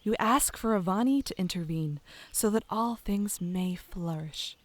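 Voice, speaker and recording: A woman playing a whimsical, sweet but stubborn young druid princess in a fantasy audio drama, gentle and airy. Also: mid-range voice, a little slow, lightly acted. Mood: earnest, gentle.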